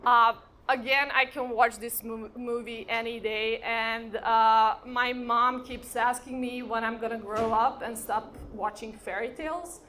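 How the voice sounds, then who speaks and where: A woman speaks in a high, bright voice at an unhurried pace. She is giving an informal talk at a live event, addressing the audience conversationally. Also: fairly even intonation. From Croatia and Serbia. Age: 30s. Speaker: conference speaker. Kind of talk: informal conference talk with personal anecdotes. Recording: live event with background noise.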